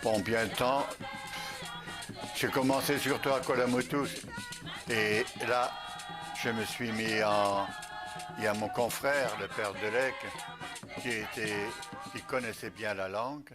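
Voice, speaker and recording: An older man from Alsace, a retired missionary bishop, recalling his life slowly with many pauses. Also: lowish voice, fairly even intonation, music in the background. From Alsace, France. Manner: slow, halting recollection with many pauses. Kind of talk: reminiscing interview.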